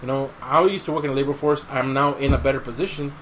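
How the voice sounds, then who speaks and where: A man's voice: mid-range voice, steady tone, quick pace, fairly bright tone, a little hiss or hum, rough recording. A man hosting a podcast in casual chat, swearing freely and giving his opinions in slangy talk. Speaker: podcast host.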